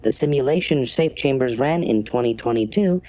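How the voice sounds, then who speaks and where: A man's voice: mid-range voice, expressive, a little mellow, no room sound, soft background music, close to an everyday voice, low-quality recording. Polite, detached AI acted by a man, very dry. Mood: detached, explanatory. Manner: measured, formal, emotionless. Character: polite, detached trauma-assistance artificial intelligence serving its company. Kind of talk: audio drama acting, formal.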